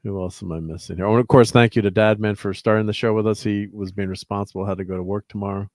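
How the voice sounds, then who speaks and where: A man with a low voice hosts a casual livestream chat. He rambles along reading off viewer names and laughs easily. The sound is close and dry. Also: steady tone, quick pace, darkish tone, no echo. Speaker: host.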